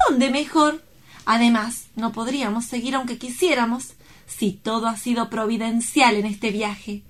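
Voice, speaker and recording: A woman's voice: a little high, fairly even intonation, unhurried, natural voice. A woman playing an exuberant, romantic young woman in love in an audio drama, effusive, playful and full of exclamations. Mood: gushing, playful. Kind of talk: theatrical audio drama acting.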